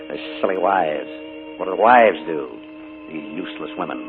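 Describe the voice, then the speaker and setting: An actor playing a charming but sinister middle-aged uncle, a killer, in a 1940s radio drama. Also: male voice, low-pitched, animated, measured pace. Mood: contemptuous. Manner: smooth, then cold and contemptuous. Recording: tinny 1940s radio broadcast with music.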